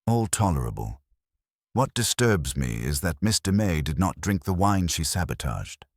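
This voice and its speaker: A man's voice: low voice, sing-song, normal speed, no echo, studio quality. A man voicing a pompous, brooding, exacting genius detective in a storybook reading. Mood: ruminating, grave.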